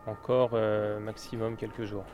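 A man's voice: on the low side, level tone, a little slow, highs cut off, faint music behind. A man replying casually as a guest in a group interview.

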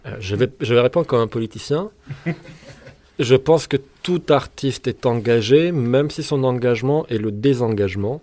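A man's voice: mid-range voice, moderate pace, a little mellow. A man whose first language is Turkish, a rock singer and guitarist answering interview questions in a thoughtful, opinionated way with frequent hesitations.

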